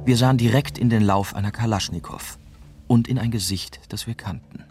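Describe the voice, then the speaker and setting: An actor playing a young German journalist who narrates his adventure in measured first-person radio drama style. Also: fairly low pitch, lightly acted. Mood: measured, tense. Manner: measured, storytelling. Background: prominent music.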